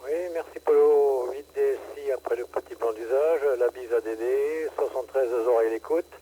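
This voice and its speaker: A man takes his turn on a ham radio net, short and to the point, full of call signs and jargon. His voice is mid-pitched and carried over a hissing radio link. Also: moderate pace, dark tone. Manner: brief, uses radio jargon. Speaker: radio amateur calling in. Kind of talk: casual on-air chat. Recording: noisy radio transmission.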